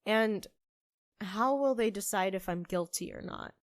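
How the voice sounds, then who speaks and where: Woman playing a wry, accused barista, mid-pitched. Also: measured pace, dry recording, clean studio sound, close to an everyday voice. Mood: frustrated. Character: wry, frustrated barista wrongly accused of murder. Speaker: voice actor in a fiction podcast. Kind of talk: audio drama dialogue.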